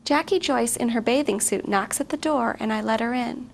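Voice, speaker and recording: Woman, expressive children's read-aloud, high pitched, creaky, slight background noise. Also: moderate pace. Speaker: guest story reader.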